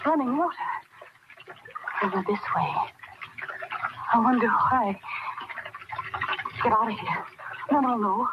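A woman's voice: on the high side, measured pace, pauses often, lightly acted. An actress playing a panicked, confused woman murderer, muttering in breathless fragments in the style of old radio horror. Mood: panicked, dazed. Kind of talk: 1940s radio horror acting. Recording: muffled, very noisy old radio recording.

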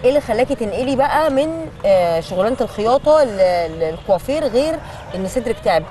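Young female Egyptian TV interviewer, very rapid delivery, probing and conversational, recorded over clear street noise. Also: a little high, animated, mellow. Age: young adult. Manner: prompting, quick follow-up questions. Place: city street, outdoors.

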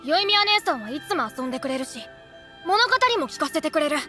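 A woman's voice: high-pitched, animated, brisk, pushed and bright. An actress playing a stubborn, trusting little village boy in an anime game, earnest and boyish. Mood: indignant, excited. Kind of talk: Japanese anime game voice acting. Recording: music behind the voice.

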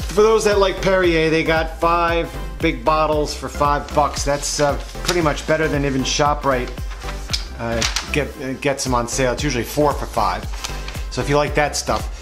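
A man's voice: on the high side, normal speed. A man hosts a vlog, talking casually and hesitantly about supermarket flyers, with many fillers. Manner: rambling, hesitant, full of uh fillers. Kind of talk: casual unscripted commentary. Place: indoors at a table, voice-over to overhead shots. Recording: music playing under the voice. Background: background music.

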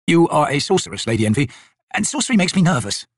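Man doing a husky, wry scarred soldier. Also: a little high, very animated, fast talker, no room sound, studio quality, voice pushed up. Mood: uneasy. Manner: wry, grumbling, self-deprecating. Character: scarred young soldier-scout, male, wry, awkward, prickly.

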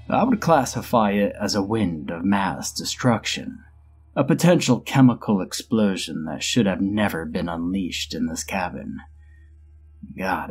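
A man narrating a first-person horror story in a steady storytelling voice with dry humor. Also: fairly low pitch, breathy edge. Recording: music bed under the voice.

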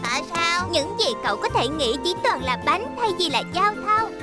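An actor voicing a bright, cheerful little child in a cartoon dub. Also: very high-pitched, sing-song, normal speed. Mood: chatty. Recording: music behind the voice.

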